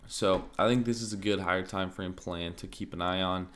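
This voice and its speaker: A man streaming crypto market commentary in a casual, thinking-aloud way, his voice slightly raspy and often interrupted by throat clearing. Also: low-pitched, brisk, slight background noise. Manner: relaxed, thinking aloud, many fillers like "like" and "um".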